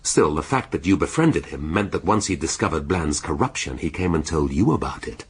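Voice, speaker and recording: Shrewd british detective inspector, really deep voice, sardonic and theatrical, radio drama, faint background noise. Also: male voice, animated. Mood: accusing. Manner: sardonic, deliberate, relishing his deductions. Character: shrewd, theatrical male police inspector, middle-aged. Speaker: police detective.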